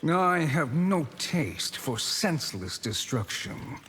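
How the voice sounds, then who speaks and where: A man voicing a haughty scheming sorcerer in a fighting game, with a measured, condescending and menacing manner. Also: a little high, sing-song, fairly bright tone, breathy edge, full character voice. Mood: smug, menacing. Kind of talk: fighting game character acting. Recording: reverberant hall.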